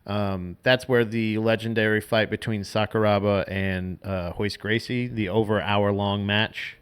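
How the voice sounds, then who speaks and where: A man's voice: on the low side, little pitch movement, measured pace, smooth. A man co-hosting a podcast, explaining at length in loose, profane casual chat with many restarts. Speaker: podcast co-host.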